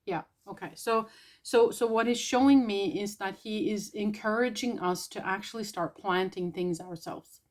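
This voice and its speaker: Soft-spoken woman, tentative. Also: mid-range voice. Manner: soft, tentative, pausing often. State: irritated throat, clearing it. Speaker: spiritual channeler. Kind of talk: casual spiritual vlog.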